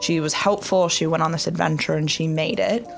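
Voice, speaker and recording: A woman's voice: deep voice, steady tone, fairly quick, gravelly, soft background music. A young woman in a tabletop game, reflectively describing her character's thoughts with frequent fillers. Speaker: player.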